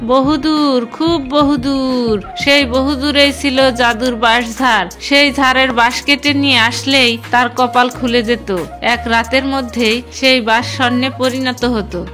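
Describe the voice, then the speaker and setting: A woman playing a storytelling mother and narrator in a cartoon fairy tale, with an expressive delivery. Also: high-pitched, measured pace, faint music behind. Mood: wistful storytelling.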